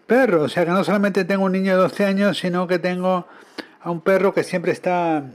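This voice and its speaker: A man's voice: high voice, steady tone, fairly quick. A man interpreting a conference talk live, following the speaker in short phrases and correcting himself as he goes. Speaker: simultaneous interpreter.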